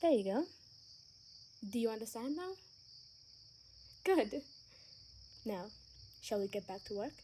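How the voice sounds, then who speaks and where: A woman acting a gentle, sheltered young vampire daughter in an ASMR roleplay, speaking in a soft, earnest, hesitant way. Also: a little high, animated, slow pace, pauses often. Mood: soft, friendly. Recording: faint background noise with chirping crickets.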